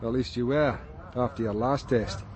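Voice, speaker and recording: An actor playing a steady, businesslike police detective inspector in a radio drama, clipped and dry, with a Scottish accent. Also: male voice, mid-range voice, moderate pace, lightly acted. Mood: dry, probing. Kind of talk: naturalistic radio drama acting. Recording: faint urban street noise.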